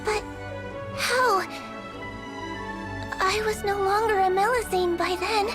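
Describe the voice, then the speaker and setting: A woman voicing a small, gentle, non-human doctor in a game cutscene, who talks in a soft, sweet and earnest way. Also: extremely high pitch, fairly even intonation, takes it slowly. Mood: puzzled. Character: small gentle female Melusine doctor, kindly and calm. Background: game music.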